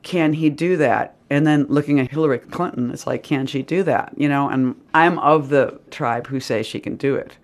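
Gravelly Boston-accented woman poet. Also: a bass voice, moderate pace, few pauses. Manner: rambling, full of "you know" and "like". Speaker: poet interviewed as guest. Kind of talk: casual interview chat.

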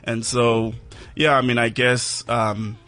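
South African male director, Zulu native, flat measured interview voice. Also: mid-range voice. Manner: measured, reflective, softening toward the end of phrases. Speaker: film director, studio guest. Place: radio studio.

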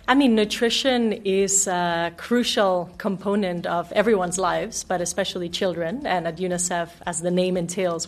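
A woman from Denmark and Mexico with American-sounding English, a nutrition expert explaining things clearly as a radio studio guest. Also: fairly low pitch. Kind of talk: radio interview.

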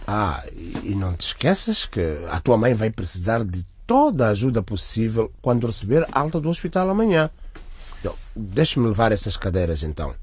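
Secretive, busy father, radio serial, low register, animated delivery. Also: a little fast, slightly rough. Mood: practical. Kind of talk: radio drama acting.

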